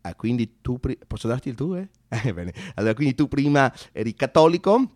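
A man playing an earnest, overexcited door-to-door communist proselytizer in a comic stage monologue, halting and repeating himself eagerly. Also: mid-range voice, very animated, darkish tone, no room sound, clean studio sound, pitched below the performer's own voice, lightly acted. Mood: awkward, conciliatory. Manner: halting, stammering, repetitive, eager.

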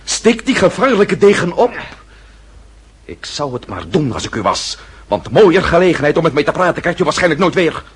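Male aristocratic swordsman for radio play: icily courteous, self-assured, very animated delivery, slightly husky. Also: a little high, normal speed, full character voice. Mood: mocking, composed. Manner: ornate, sardonic politeness. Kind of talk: period radio drama acting.

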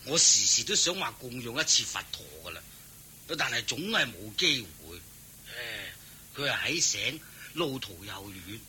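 An excitable, pious father in a Cantonese radio play from Hong Kong. His voice is very bright and swoops with exclamations and questions, over faint background noise. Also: medium pitch, normal speed. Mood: amazed. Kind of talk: radio drama acting.

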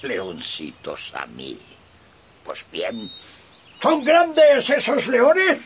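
A deluded, hot-headed old knight-errant in a radio play. His voice is piercingly high and creaky, with grand, archaic declamation that swings widely. Faint music sits behind him. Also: wildly swooping pitch, drawn-out words, far from the mic, slight background noise, exaggerated voice. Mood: haughty curiosity. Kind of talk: radio drama acting.

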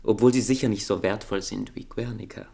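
An actor playing a wry, dejected French intellectual in a German radio play, speaking with irony and some hesitation. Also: male voice, lowish voice, steady tone, brisk, vocal fry, warm tone. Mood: wry, subdued.

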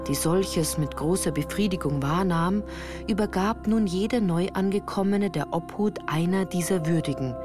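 Female narrator for literary radio play: lowish voice, composed, careful diction, clear music bed underneath. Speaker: first-person narrator.

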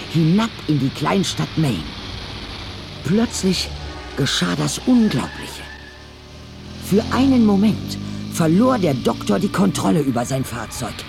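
A man's voice: a little high, vocal fry. A man narrating a scripted radio play in a dramatic storytelling style.

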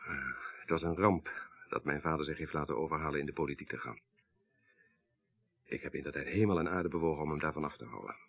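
A very low, rough-edged male voice with a Netherlands Dutch accent. He is a learned professor in a radio crime drama, grieving yet dryly ironic, explaining things in precise, lecturing phrases. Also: fairly quick, fairly bright tone, exaggerated voice. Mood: measured, bitter. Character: grieving, articulate male professor, child of a murdered minister.

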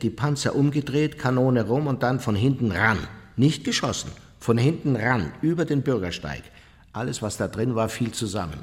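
A man's voice: medium pitch, close to an everyday voice. A man playing an unrepentant Nazi war criminal in a radio play, recounting his deeds in a blunt, self-justifying way. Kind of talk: German radio play, interview testimony. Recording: long reverberant hall.

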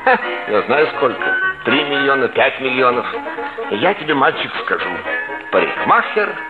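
Casting: male actor as unctuous philosophising barber, animated mid-pitched voice, thin vintage radio sound. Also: normal speed, narrow, tinny audio, natural voice. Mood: proud, philosophising. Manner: unctuous, musing, rhetorical questions. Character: chatty, philosophical small-town male barber, proud of his trade. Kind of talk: 1960s radio play character acting. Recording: thin old radio recording, loud music behind.

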